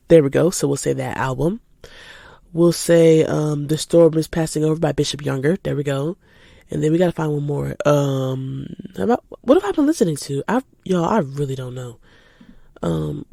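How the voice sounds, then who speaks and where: An eighteen-year-old woman chats casually and spontaneously as a podcast host, animated and informal, slightly stuffy from a cold. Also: deep voice, vocal fry, no room sound, studio quality. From United States, lives in Georgia. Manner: animated, informal, addresses listeners as y'all. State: recovering from a cold.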